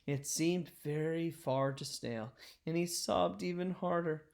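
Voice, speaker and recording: A man reading a children's picture book aloud in an expressive, deliberate storytelling style. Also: a little high, gravelly.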